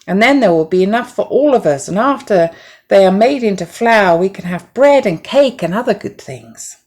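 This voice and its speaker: A woman voicing a cheerful, industrious little mother hen in a storybook, exclaiming in an excited, sing-song way. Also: mid-range voice, moderate pace, pitched above the performer's own voice, close to an everyday voice. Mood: patient, encouraging.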